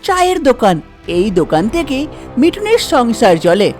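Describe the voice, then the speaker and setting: A woman's voice: sits high, very animated, moderate pace, a little mellow, faint music behind. A woman narrating a scripted cartoon folk tale. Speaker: storyteller, narrator.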